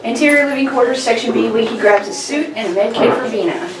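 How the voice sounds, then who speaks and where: A woman's voice: on the high side, expressive, fast talker, background noise. A woman reading out stage directions as the narrator at a script table read. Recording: roomy, distant mic, chattering group behind.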